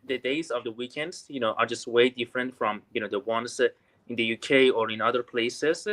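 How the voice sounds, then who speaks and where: A man from Iran with an Iranian accent, calling in to practise English and often pausing on "you know". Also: mid-range voice, fairly bright tone. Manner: hesitant, many "you know" fillers. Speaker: learner calling in. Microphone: remote call audio.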